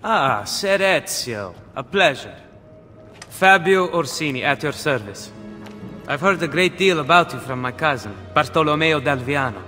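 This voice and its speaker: A man's voice: fairly high pitch, lively intonation, unhurried, sharp tone. A courtly Roman nobleman. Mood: courteous. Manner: courtly, polite. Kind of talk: video game cutscene dialogue. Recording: game audio with long reverb and music.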